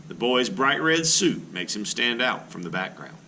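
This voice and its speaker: A man's voice: medium pitch, sing-song, moderate pace, sharp tone, slight background noise. A man, an elementary art teacher, reads a picture book aloud to children with expression and breaks off now and then to add his own teacherly asides.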